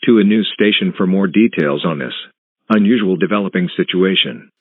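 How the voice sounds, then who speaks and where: A man reading a scripted emergency broadcast announcement in a formal, flat, instructional manner. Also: low voice, brisk. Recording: tinny phone-like band, echoing.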